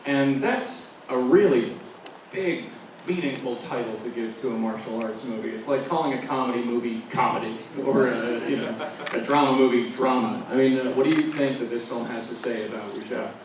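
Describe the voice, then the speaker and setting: Guy hosting a q&a, average pitch, rambly questions, far from the mic in a boomy room. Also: moderate pace. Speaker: Q&A moderator. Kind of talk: casual live interview. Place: large indoor venue at a film festival. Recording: echoey hall, distant mic, faint noise.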